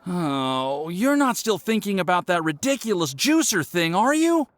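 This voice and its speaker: A man's voice: high voice, very animated, measured pace, background noise. A man voicing a nervous, fussy young alchemist apprentice in a video game, hesitant and sighing.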